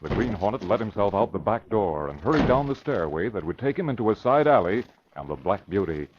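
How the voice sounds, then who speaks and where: A man's voice: lowish voice, sing-song, brisk, background noise. A man narrating an old-time radio crime drama in scripted style. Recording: dull vintage radio recording with music. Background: dramatic music.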